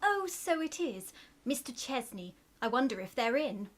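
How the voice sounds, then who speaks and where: A woman's voice: fairly high pitch, big pitch swings, normal speed, heavy background noise. An actress playing a demure, coy young lady in a Victorian radio farce. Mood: shy, polite. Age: young adult. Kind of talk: radio play farce acting.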